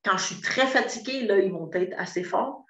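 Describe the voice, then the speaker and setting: A woman's voice: medium pitch, normal speed, fairly bright tone. A woman in her fifties with a Québécois accent, giving a candid, earnest testimony with many hesitations and restarts. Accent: Québécois French. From Quebec. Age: 50s. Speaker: program client giving a testimonial. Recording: roomy echo, dull lo-fi sound.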